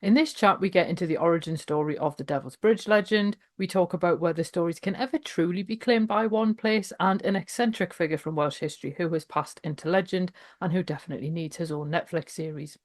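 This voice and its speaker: A woman's voice: lowish voice, brisk, few pauses. Chatty woman hosting a folklore podcast. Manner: casual, chatty questioning. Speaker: podcast host. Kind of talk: podcast intro and interview.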